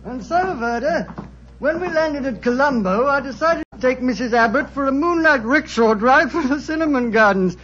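An actor playing a talkative, gossipy ship's doctor in a 1940s radio drama on a dull old recording. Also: male voice, very high voice, animated, normal speed, fairly bright tone, a little hiss or hum. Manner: chatty, gossipy, chuckling.

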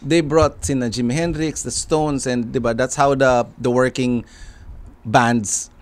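A middle-aged man with a Filipino accent hosts a relaxed podcast chat, talking into a studio mic. His voice sits at an ordinary middle pitch. He flips between Tagalog and English mid-sentence and gets lively when something excites him. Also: measured pace. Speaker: podcast host. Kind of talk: casual chat. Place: studio room full of gear and shelves. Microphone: handheld mic on a stand, headphones.

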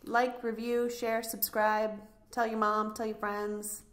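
A woman's voice: a little high, flat delivery, takes it slowly, a bit bright. A woman co-hosting a podcast, chatting casually and giving show announcements. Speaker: podcast co-host.

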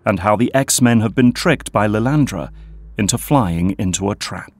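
Brief: male narrator, low voice, even storytelling delivery. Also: normal speed, no room sound. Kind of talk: scripted narration.

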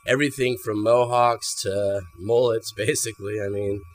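A man speaks in a somewhat low voice, answering an interviewer in a small barbershop. He talks easily, restarting and repeating words as he goes. A faint hum sits under the handheld mic. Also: moderate pace. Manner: relaxed, repeats words while thinking. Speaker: barbershop owner. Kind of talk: casual interview. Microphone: handheld mic held by interviewer.

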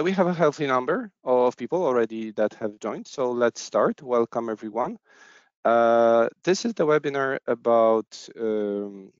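A man's voice: mid-range voice, dry recording. A man hosting an online webinar as an agile coach, hesitant, with many fillers and restarts, heard over a headset on a video call. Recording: dull, lo-fi video call audio.

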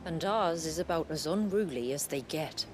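An actress in a video game cutscene playing a proud, solemn envoy of a hidden tribe, speaking formally and with poise. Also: female voice, deep voice, lively intonation, moderate pace, noisy background. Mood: persuasive.

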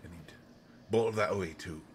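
A man playing a brutish, slow-witted but protective monster in an audio roleplay, speaking in halting phrases that swing from hushed to loud. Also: low-pitched, a little hiss or hum, natural voice. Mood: brooding, confiding. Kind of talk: intimate dramatic audio roleplay monologue.

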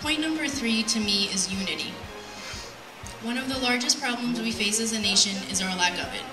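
A woman's voice: a little high, fairly quick. Young woman speaking in a roomy hall. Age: young adult. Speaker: speaker at a community event. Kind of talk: prepared public speech. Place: event hall, at a podium. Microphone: handheld mic on PA, picked up by distant camera. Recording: roomy, distant sound with music.

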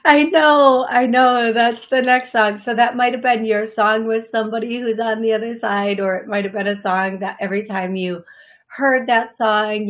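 A middle-aged woman with a raspy voice from allergies, hosting her psychic medium show in a chatty, apologetic way from her living room. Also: on the high side. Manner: chatty, apologetic, laughs often, gestures with hands. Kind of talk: solo livestream talk to viewers. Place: living room, seated on leather couch.

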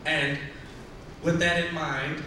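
A man answering a pageant question on stage in a measured, earnest, formal way. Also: a little high, little pitch movement, a crowd in the background. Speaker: pageant contestant. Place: large stage in a hall. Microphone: handheld mic through hall speakers. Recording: echoey hall taped from far off, noisy.

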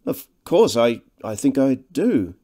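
A storybook gentleman out walking, voiced by a man. He sounds polite but hesitant and lacking in authority. His slightly lifted voice carries mild indignation. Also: medium pitch, moderate pace, voice pushed up, lightly acted. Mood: polite, awkward. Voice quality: unimpressive, unauthoritative.

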